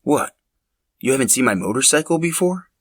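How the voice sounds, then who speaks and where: A man's voice: medium pitch, animated, brisk, breathy edge, no echo, studio quality, voice pushed up. A man voicing a coy young motorbike lover, casual and shyly teasing, in a narrated story.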